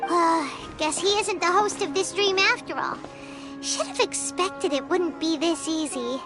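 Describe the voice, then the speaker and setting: A woman voicing a tiny, excitable, childlike fairy companion in a video game, chatty and bubbly with a squeaky voice. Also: unhurried. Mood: teasing, deflated. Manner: bubbly, refers to self in third person. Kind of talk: English video game voice acting. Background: game music.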